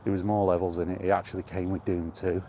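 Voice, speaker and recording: Middle aged guy, really low relaxed voice, rambly casual gamer chat, bit of background noise. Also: quick pace. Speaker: gaming vlogger. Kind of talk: casual rambling chat about retro gaming.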